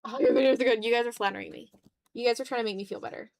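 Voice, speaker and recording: A woman's voice: fairly high pitch, fairly even intonation, very fast, a little mellow. A woman chatting casually on an art livestream, thinking aloud in a hesitant, self-deprecating way. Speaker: streamer and content creator. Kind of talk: casual livestream chat while drawing.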